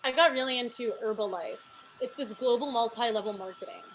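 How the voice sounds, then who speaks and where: A woman's voice: a little high, a little fast, fairly bright tone, slight background noise, lightly acted, poor audio. A woman playing a breezy, shameless young hustler in a comedy table read, blunt and matter-of-fact.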